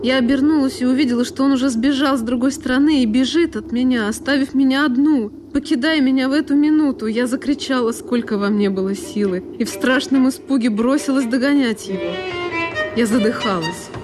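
Emotive woman narrator over clear music. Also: high-pitched, brisk. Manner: emotive, theatrical. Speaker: solo performer, narrator. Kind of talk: dramatic first-person literary narration.

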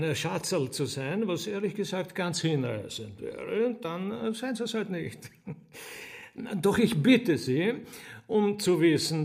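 An actor playing a roguish, flowery trickster in a radio drama, mock-polite and theatrical, with drawn-out hesitations. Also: male voice, a little high.

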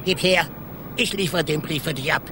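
An actor playing a gruff, theatrical robber with a soft heart in a children's radio play. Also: male voice, fairly high pitch, normal speed, heavy background noise, lightly acted. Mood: bossy, threatening. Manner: theatrical, stammers when flustered.

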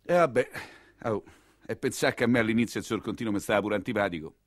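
An actor playing an excitable, humble nineteenth-century coachman from Rome, telling his story in a theatrical dialect monologue with a Roman accent. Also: on the low side, quick pace, no echo, clean studio sound, lightly acted. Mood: rueful. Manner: earthy, emotional, colloquial storytelling.

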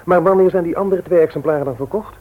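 An actor playing a polite younger bookshop nephew who reasons with quiet insistence in a Dutch radio play. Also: male voice, fairly high pitch, fast, narrow, tinny audio, natural voice. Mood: insistent.

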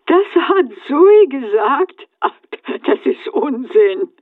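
A woman's voice: high-pitched, very animated, slow, no room sound, phone-quality sound, full character voice. An actress playing an older mother, defensive and attached to her memories, in radio drama dialogue. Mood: dismissive, defensive.

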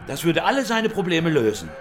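A man's voice: a little high, sing-song, a little fast, faint music behind. A man playing a troubled, bitter father in a crime radio drama, terse and brooding in his speech. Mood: bitter, defensive.

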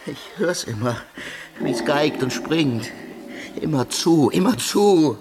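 An actor playing a tormented, jealous soldier in a radio drama, halting and obsessively repeating himself. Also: male voice, on the high side, animated, noisy background. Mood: haunted, restless. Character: tormented jealous young soldier, haunted, feverish, brooding. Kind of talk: German radio drama acting. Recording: loud music behind the voice.

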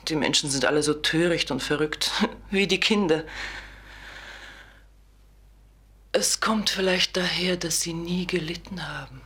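Bright, intense madwoman in radio drama. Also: deep voice, moderate pace. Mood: resigned, disdainful. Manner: theatrical, intense, shifting between hushed and insistent. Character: mentally disturbed female patient, grateful, confused, disdainful of others. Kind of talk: German radio play acting.

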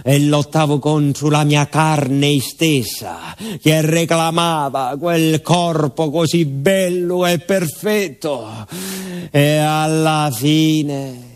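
A man's voice: on the high side, very slow. An actor playing a garrulous, naive emigrant miner with a southern Italian accent, telling his tales to a listener in a folksy theatrical monologue. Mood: flustered, indignant. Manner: chatty, digressive, folksy, addressing a listener directly.

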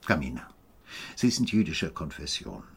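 Very deep, creaky stern official. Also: male voice, sing-song, moderate pace, fairly bright tone, full character voice. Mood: stern, probing. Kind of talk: German radio play acting.

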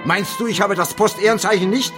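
Man acting a scheming murderous postman, higher-pitched voice, self-righteous, cold-blooded, radio drama. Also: brisk, music in the background, close to an everyday voice. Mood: callous, scheming. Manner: cold-blooded, matter-of-fact about violence.

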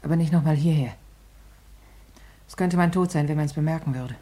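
A young street woman in a 1950s German radio play, with a very deep and husky voice. She is guarded and blunt, speaking with bitter fatalism yet stubborn loyalty. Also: a little fast, dark tone. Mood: bitter resignation.

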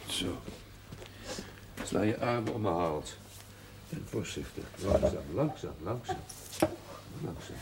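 An actor playing a dry, calm village doctor in a television drama, giving short, soothing, repeated instructions. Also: male voice, lowish voice, exaggerated voice. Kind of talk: naturalistic television drama acting. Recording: very noisy, distant old television soundtrack.

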